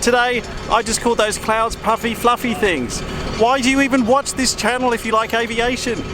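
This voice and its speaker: A man's voice: extremely high pitch, fairly even intonation, moderate pace, music in the background. A man vlogging casually outdoors, chatty and exclamatory, joking at his own expense. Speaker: vlogger and host. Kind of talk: casual outdoor vlog chat. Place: outdoors at a windy airfield. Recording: very noisy windy outdoor recording.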